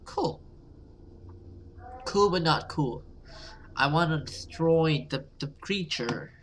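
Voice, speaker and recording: A man's voice: fairly high pitch, animated, slow pace, fairly bright tone, slight background noise. A man giving casual let's play commentary and reading game text aloud. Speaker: gaming video host.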